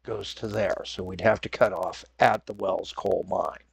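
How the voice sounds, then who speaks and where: Stuffy-nosed guy with a cold narrating his game, low gravelly voice, kinda husky, rambles and corrects himself a lot. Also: fairly even intonation, unhurried, no room sound. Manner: rambling, self-correcting, apologetic. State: raw throat. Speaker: gaming channel host. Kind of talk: casual let's-play commentary.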